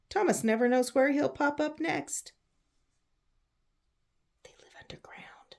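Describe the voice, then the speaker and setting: A woman reads a storybook aloud for children in a fairly low-pitched voice. She breaks from the text for chatty asides, explains things in simple words and asks her listeners what they think. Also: creaky, darkish tone, husky. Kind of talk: picture book read-aloud with chatty asides.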